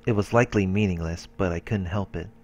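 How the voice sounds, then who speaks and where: A man's voice: deep voice, fast talker, a little mellow. A man hosting a let's play, reading game narration aloud with occasional casual asides.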